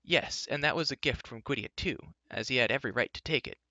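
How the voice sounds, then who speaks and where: A man's voice: medium pitch, fast talker, sharp tone, no echo, lo-fi sound, voice pushed up. A man voicing a headstrong young princess in a story, chattering on in a breezy, insistent, argumentative way. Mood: chatty.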